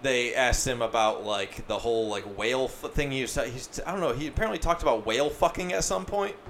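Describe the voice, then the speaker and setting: Man, podcast host, casual chat, swears a lot, mid-pitched voice, faint background noise. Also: fairly quick, a bit bright. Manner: profane, rambling, filler-heavy.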